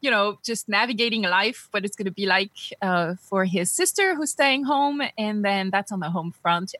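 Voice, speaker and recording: A woman's voice: medium pitch, a bit bright, dry recording. A woman in her forties from France with a French accent, a podcast guest chatting casually and laughing easily. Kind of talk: casual interview chat.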